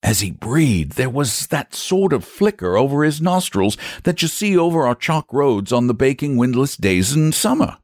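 A frightened country father from a storybook, played by a male reader. His voice is pushed high and swoops dramatically as he rambles in rustic dialect, nervous and easily indignant. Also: very animated, normal speed, few pauses, a little mellow, no echo, clean studio sound, natural voice. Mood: uneasy, bewildered. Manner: rambling, dialect country speech with emphatic repetitions.

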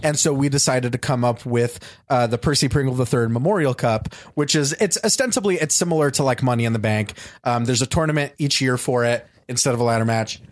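A man's voice: mid-range voice, brisk, few pauses, dry recording. A man guesting on a casual podcast, telling a long story with many fillers and restarts. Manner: chatty, lots of fillers and restarts. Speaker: wrestling manager guest.

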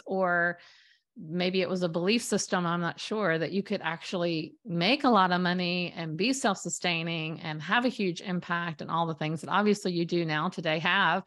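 A woman's voice: on the low side, a bit bright. A woman hosting a podcast interview, enthusiastic and effusive, with lots of fillers as she talks. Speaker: podcast host, storytelling coach.

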